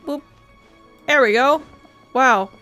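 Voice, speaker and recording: A woman's voice: high-pitched, slow, pushed and bright, soft background music. A woman giving casual, chatty commentary as she plays a game, thinking aloud and swearing now and then. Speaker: gaming video host.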